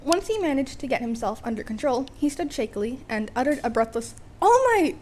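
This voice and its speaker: A woman's voice: on the high side, animated, brisk, darkish tone, a little hiss or hum. A woman reading a scripted comic story as an amateur narrator, wry in manner, sometimes stumbling and rereading phrases. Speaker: amateur audiobook reader.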